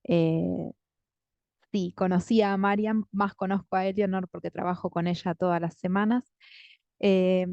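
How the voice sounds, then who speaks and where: A woman's voice: medium pitch, darkish tone, dry recording. A woman coordinator introducing a guest speaker in an online class, friendly and chatty with many fillers. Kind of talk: spoken introduction in a video class. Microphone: video call. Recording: dull lo-fi call audio.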